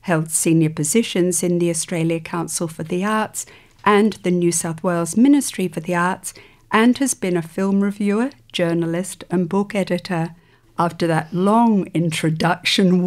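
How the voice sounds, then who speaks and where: A woman hosts an interview program with a fairly low voice. She reads the guest introduction from a script in a clear, composed way, then asks her questions in the same steady tone. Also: unhurried. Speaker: radio program host.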